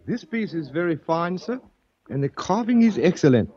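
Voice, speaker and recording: A husky, deferential shop clerk. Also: male voice, a little high, big pitch swings, normal speed, dark tone. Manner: stiffly formal, keeps saying sir. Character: obsequious male shop clerk, polite and deferential. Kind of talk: 1940s radio acting. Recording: dull dry old radio recording.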